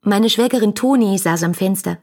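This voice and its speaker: A woman's voice: mid-range voice, moderate pace, darkish tone, close to an everyday voice. An actress playing a passionate, devoted young woman speaking her letters in a radio drama, intimate and earnest, full of grief and tenderness. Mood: urgent recollection.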